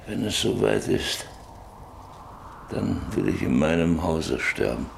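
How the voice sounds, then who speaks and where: An actor playing a sick, stubborn, lonely old rancher in a German radio play, halting and wistful, lost in memories. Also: male voice, low-pitched, sing-song, creaky, full character voice. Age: older. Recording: noisy radio play with ambient sound.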